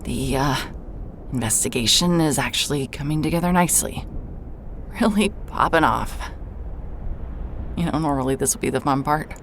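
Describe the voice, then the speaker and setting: A wry, low-voiced investigator. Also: female voice, normal speed, long pauses, slight background noise. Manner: wry, introspective, swears when upset. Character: rattled woman investigator, sarcastic and guarded. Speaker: narrator and lead character. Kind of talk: first-person audio drama narration.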